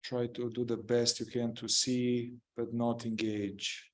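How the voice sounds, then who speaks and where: A man guiding a meditation in gentle, unhurried short phrases, improvising as he goes and leaving long pauses. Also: mid-range voice, level tone. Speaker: meditation guide. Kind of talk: guided meditation, improvised spoken instruction.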